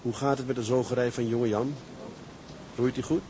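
An actor playing a resolute, burdened sea captain in a radio drama. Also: male voice, mid-range voice, fairly even intonation, normal speed, warm tone, a little hiss or hum, lightly acted, low-quality recording. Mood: tender, relieved. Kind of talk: radio drama acting with letter-reading monologues.

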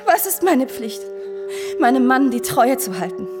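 An actress playing a sly, mercenary and scornful mistress in a German radio drama, mocking and commanding in a theatrical way. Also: female voice, high-pitched, big pitch swings, measured pace, music in the background. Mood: tearful pleading.

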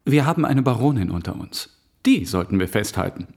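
A man playing a courteous, inquisitive gentleman bachelor and reserved suitor in a German radio drama. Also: fairly low pitch, very animated, brisk, gravelly. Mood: courteous, curious.

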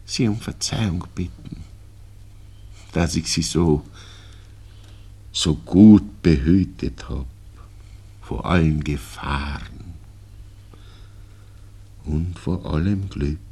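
An actor playing a gentle, regretful old Viennese father in a radio drama, with a husky, gravelly voice and halting speech. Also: low voice, heavily acted. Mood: regretful, sorrowful. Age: older. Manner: slow, halting, repeating words. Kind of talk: 1960s German radio drama acting.

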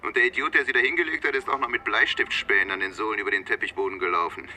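An actor playing a wry Vietnamese police lieutenant who talks in mock proverbs, teasing, in a German radio crime drama. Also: male voice, fairly low pitch, fairly even intonation, rapid-fire, sharp tone, a little hiss or hum. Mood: amused, teasing. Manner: teasing, sententious.

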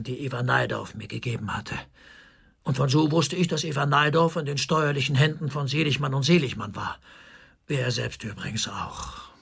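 Ein Schauspieler spielt in einem deutschen Krimihörspiel einen verzweifelten Armeeoffizier, der Entlarvung fürchtet, aufgewühlt und flehend, mit rauer Stimme. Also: male voice, medium pitch, creaky, a bit bright, slightly rough, exaggerated voice. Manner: agitated, pleading. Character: desperate army officer fearing exposure and ruin. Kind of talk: German radio crime drama acting.

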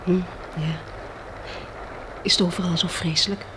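A woman's voice: fairly low pitch, fairly even intonation, normal speed, lightly acted. A woman acting a tender, relieved survivor in a Dutch radio play. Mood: tender, wistful. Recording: faintly noisy old radio drama recording.

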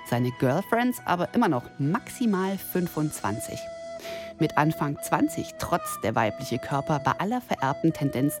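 A woman of thirty-nine delivering an ironic, self-mocking scripted radio monologue in the first person. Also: sits low. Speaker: radio columnist and presenter.

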